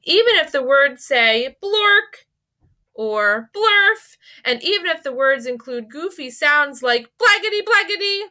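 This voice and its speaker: A woman with a fairly high, very bright voice presents scripted book recommendations for children. Her delivery is expressive and carefully enunciated, in the manner of someone used to reading aloud. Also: a little slow. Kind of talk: scripted promotional narration of children's book picks.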